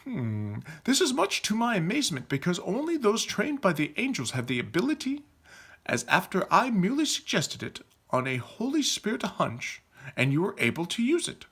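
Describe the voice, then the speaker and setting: A man's voice: high voice, wildly swooping pitch, normal speed, fairly bright tone, breathy edge, pitched above the performer's own voice, exaggerated voice. A man voicing a self-assured young male leader of holy knights in a storybook, stately and probing.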